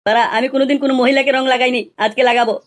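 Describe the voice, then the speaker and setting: A woman's voice: high-pitched, steady tone, very fast, natural voice. A woman voicing cheeky, brash young village lads in an amateur comedy cartoon, with slangy, crude and insistent talk.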